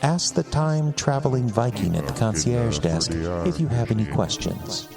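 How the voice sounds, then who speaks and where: An American man playing a pompous, wry British gentleman host, narrating theatrically in a put-on British accent for a fantasy podcast. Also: mid-range voice, animated, normal speed, gravelly. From United States. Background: music.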